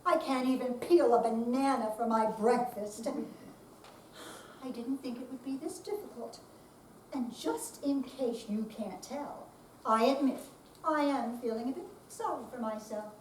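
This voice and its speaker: A woman's voice: a little high, unhurried, darkish tone, lightly acted. A woman playing a stubborn, sarcastic retired woman in a live readers theater piece, in an echoey room. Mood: sarcastic, self-pitying. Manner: sarcastic, grumbling. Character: feisty, stubborn, grumbling retired independent woman. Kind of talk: readers theater dramatic reading. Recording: echoey room, distant mic, faint noise.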